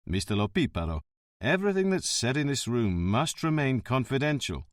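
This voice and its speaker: A man voicing a shrewd, wry police chief who questions calmly and dryly. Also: medium pitch, no echo, lightly acted. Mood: measured. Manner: calm, dry and measured.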